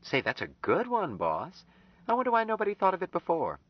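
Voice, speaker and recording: An earnest young woman, devoted and gently insistent, pitched well above the male narrator's own low voice. A man reads her in an audiobook, and her tone swings up and down. The audio is a little dull. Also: huge pitch swings, fairly quick, dry recording, exaggerated voice. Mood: reassuring.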